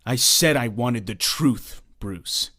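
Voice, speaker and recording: An actor playing a defiant young hero and former sidekick in a comic dub, speaking bluntly and with feeling. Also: male voice, mid-range voice, takes it slowly, sharp tone. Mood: bitter, confrontational. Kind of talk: comic dub dramatic acting.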